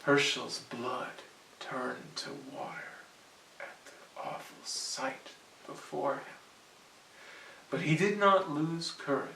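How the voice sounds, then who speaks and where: Very slow, suspenseful male storyteller. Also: medium pitch, gravelly, slight background noise. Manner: hushed, suspenseful, with sudden swells. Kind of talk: dramatic children's story reading. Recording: roomy, echoing, distant mic.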